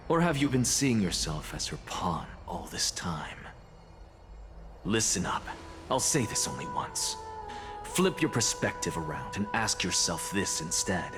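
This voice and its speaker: A man playing a haughty, sharp-tongued professor in a video game, lecturing in an imperious, deliberate way. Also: on the low side. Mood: scornful. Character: haughty, sharp-tongued male professor, stern mentor to a student. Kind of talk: scripted game voice acting. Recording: music behind the voice.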